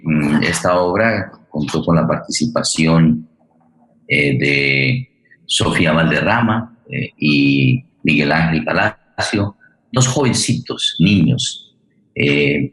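Male forum host, extremely deep voice, halting delivery, roomy sound. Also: a bit bright. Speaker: host of a radio theatre forum. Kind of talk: spoken presentation and thanks.

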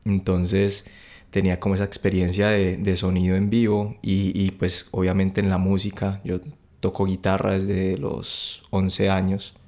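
Deep flat-voiced young Colombian man. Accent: Bogotá Colombian. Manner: halting, many restarts and fillers. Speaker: podcast guest. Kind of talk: relaxed conversational storytelling.

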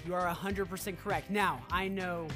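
A man's voice: sits high, steady tone, moderate pace, over music. Emphatic male sports show co-host. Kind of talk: sports talk commentary on a fictional league.

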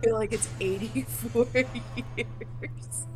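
A woman's voice: fairly high pitch, steady tone, extremely slow, music in the background. A woman chatting and joking playfully as a movie reaction host. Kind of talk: casual chat commentary.

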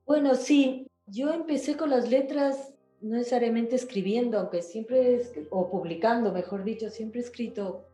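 A woman's voice: fairly high pitch, normal speed, hardly any pauses, dark tone, roomy sound, faint music behind. A woman talks casually as an interview guest, in a chatty, rambling way, often checking back with the listener. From Ecuador.

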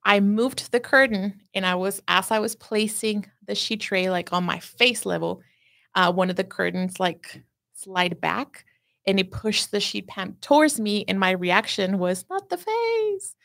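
A woman's voice: mid-range voice, expressive, unhurried, creaky, a bit bright, dry recording, clean studio sound. A woman from Mexico, a pastry chef telling stories as a podcast guest, chatty and self-deprecating. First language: Spanish. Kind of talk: casual interview chat.

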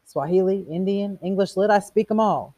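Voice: mid-range voice, normal speed, dark tone, no room sound, pitched above the performer's own voice, natural voice. A reader voicing a mocking, erudite male fire captain who declaims quotations theatrically in a storybook reading. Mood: gloating. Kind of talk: audiobook character reading.

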